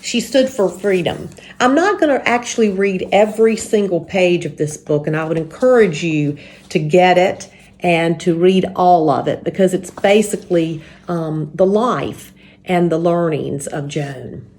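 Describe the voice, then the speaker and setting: Lower-pitched woman reading for children. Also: measured pace, a little mellow. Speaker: church story time reader. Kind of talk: reading a children's book aloud with spoken introduction.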